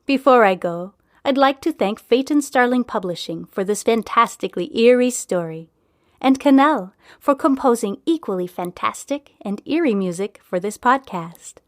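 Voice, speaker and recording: A woman's voice: on the high side, animated, normal speed, dry recording, studio quality. A woman hosting and narrating a scripted serial story podcast.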